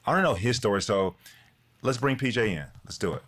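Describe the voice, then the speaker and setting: A man's voice: mid-range voice, lively intonation, fast talker, fairly bright tone. Slangy man hosting, mid-range voice. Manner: laid-back, slangy, familiar talk. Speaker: podcast co-host. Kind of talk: casual chat.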